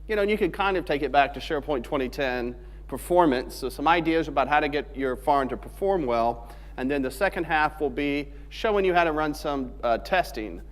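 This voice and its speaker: A man's voice: on the high side, a little fast. A man from Alabama giving a technical conference talk in a joking, conversational way. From Alabama, USA. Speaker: conference speaker. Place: large conference hall stage. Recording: long hall reverb on stage mic.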